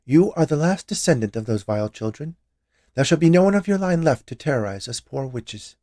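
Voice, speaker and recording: Storybook old witch, played by a male reader: overly polite, theatrical, vengeful, high animated delivery. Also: a little fast, dry recording, clean studio sound, natural voice. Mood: sinister, vengeful. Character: large, century-old witch confectioner, chatty turning vengeful. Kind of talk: dramatized short story reading.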